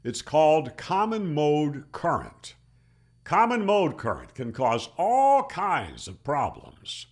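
A man over sixty giving an explanatory tutorial in an emphatic, deliberate manner, speaking into a close desk microphone. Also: on the high side, huge pitch swings. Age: older, over 60. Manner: emphatic, with rhetorical questions and deliberate repeats. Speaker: amateur radio channel host. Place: small plain room indoors. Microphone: desk microphone on boom arm, close.

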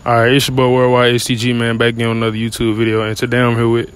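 A man's voice: medium pitch, monotone, racing, smooth. A young man hosting a casual street interview outdoors at night, talking in loose slang. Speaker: host.

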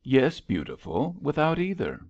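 Man playing a tender, earnest young lover, gravelly. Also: medium pitch, huge pitch swings, unhurried, darkish tone, lo-fi sound, voice pushed up, heavily acted.

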